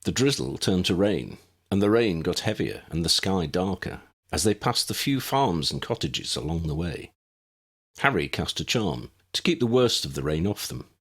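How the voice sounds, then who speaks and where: A low-pitched male narrator reads fiction aloud. His voice is husky, and his intonation swings widely as he tells the story in an expressive audiobook style. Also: moderate pace. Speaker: audiobook narrator reading own fan fiction.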